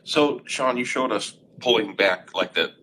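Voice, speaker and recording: A man hosting a live webinar demo in a conference room, asking guiding questions in a relaxed way. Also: mid-range voice, unhurried, low-quality recording. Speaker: webinar host. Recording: room mic with clear background noise.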